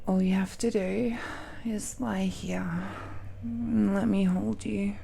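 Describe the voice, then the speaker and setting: A woman playing an affectionate, possessive dragon-blooded girlfriend in an intimate romantic audio roleplay, speaking haltingly with breathy murmurs. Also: medium pitch, steady tone, a little hiss or hum. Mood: tender, cherishing. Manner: hesitant, halting, with breathy murmurs and soft laughs.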